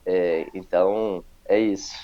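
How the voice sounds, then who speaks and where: Low, mellow-voiced man with mixed accent. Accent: mixed Brazilian Portuguese, northeastern and paulista. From Paraíba, Brazil. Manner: calm, halting, many tag questions. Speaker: pastor, interview guest. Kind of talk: casual testimony interview. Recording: muffled lo-fi sound.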